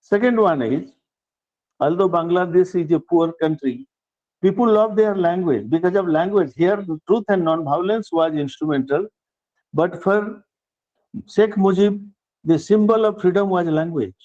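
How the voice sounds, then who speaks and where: An older man with an Indian English accent gives a formal speech in an earnest, measured way, circling back to restart his phrases. His voice sits fairly high but sounds mellow and warm. The recording is dull and lo-fi. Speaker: award recipient giving acceptance speech.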